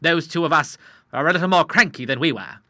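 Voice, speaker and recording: Brief: loud, bluff old sea captain in his sixties, voiced by a male narrator, bright hearty tone. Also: expressive, fast talker, no room sound, studio quality. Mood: boisterous. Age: 60s. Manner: booming, boisterous, nautical talk.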